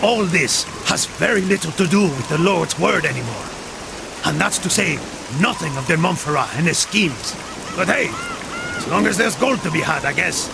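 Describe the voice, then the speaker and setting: An actor playing a wry, questioning young crusader knight with a gravelly voice in a video game. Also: male voice, fairly high pitch, expressive, moderate pace. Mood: wry, uneasy. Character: doubtful, sardonic young male crusader knight. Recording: heavy rain and ambience noise.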